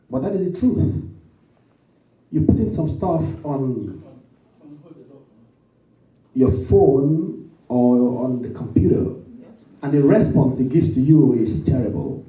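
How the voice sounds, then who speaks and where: A man's voice: medium pitch, animated, slow, pauses often, mellow. A man working as master of ceremonies, making announcements to an audience with swooping emphasis. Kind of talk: event hosting and announcements. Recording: echoey hall, mic from a distance.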